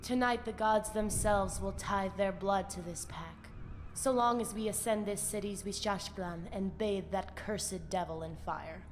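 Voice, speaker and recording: A woman's voice: mid-range voice, measured pace, lightly acted. Game role: woman as fanatical female vampire warrior, solemn and ceremonial, long reverb, faint noise. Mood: solemn resolve. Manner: portentous, ceremonial speech. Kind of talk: dramatic video game character acting.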